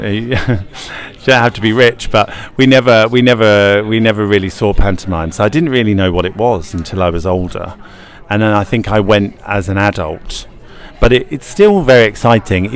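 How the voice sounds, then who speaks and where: Chatty, enthusiastic man with low voice. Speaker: pantomime actor. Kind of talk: interview answers.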